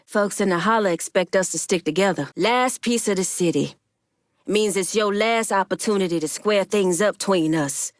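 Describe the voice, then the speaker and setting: A woman's voice: medium pitch, no echo, studio quality, natural voice. An actress playing a tough, shrewd Haitian woman gang boss in a video game, blunt, streetwise and commanding. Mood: measured, approving.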